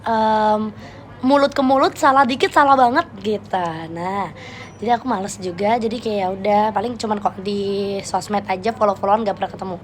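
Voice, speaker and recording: A young woman with a Jakarta Betawi slang accent chatting casually with viewers on a live stream, playful and quick to laugh. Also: medium pitch, fairly even intonation. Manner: chatty, playful, laughs often, switches registers.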